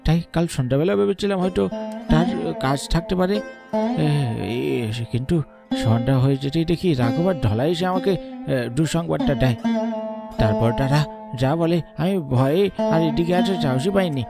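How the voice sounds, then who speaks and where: Man, cartoon voice acting, stammering, fearful, raspy fry, music bed. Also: a little high, expressive, moderate pace, pauses often. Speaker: voice actor for several village men.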